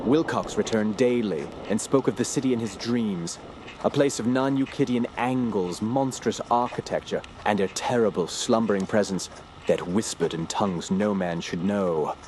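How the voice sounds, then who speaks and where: An actor playing a grave male narrator recounting dark discoveries in a scripted horror audio drama, solemn and ominous. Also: lowish voice, moderate pace, a little hiss or hum, natural voice, rough recording.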